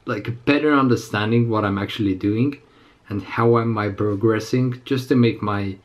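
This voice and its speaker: A man in his twenties with a slightly raspy, under-the-weather voice, chatting casually to camera as a fitness vlogger in a halting, rambling way. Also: fairly low pitch, dull audio. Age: 20s. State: slightly sick. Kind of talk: casual unscripted chat to camera. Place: small indoor room with plain walls.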